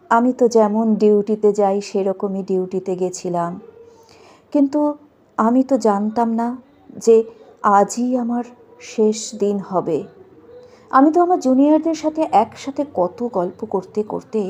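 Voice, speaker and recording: A woman's voice: mid-range voice, a little mellow, lightly acted. A woman reciting as the ghost of a murdered young woman doctor, speaking to her mother in an anguished, tearful, pleading way. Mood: sorrowful. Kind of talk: dramatic recitation.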